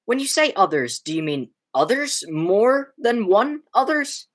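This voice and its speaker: A teenage woman playing an agitated, horrified young man who stammers and exclaims in a high school radio play. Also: very animated, a little slow. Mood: horrified, frantic.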